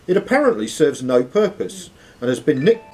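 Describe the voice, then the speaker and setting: A man's voice: mid-range voice, sing-song, normal speed, darkish tone. A man working as quizmaster, reading quiz questions aloud to contestants. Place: social club room with players around. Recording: noisy live room recording.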